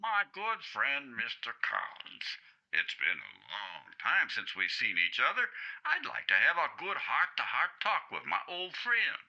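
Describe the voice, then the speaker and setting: A man's voice: mid-range voice, huge pitch swings, a little slow, sharp tone, dull audio, full character voice. A man playing a cocky, sneering gangster with slangy tough-guy talk in a 1950s-style radio crime drama. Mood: falsely friendly menace. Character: cocky, menacing male gangster, crude and sarcastic.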